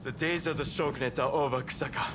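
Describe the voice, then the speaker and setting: An actor playing a confident, persuasive rebel samurai leader in a game cutscene, assured and comradely. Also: male voice, on the high side, fairly even intonation, fast, background noise, natural voice.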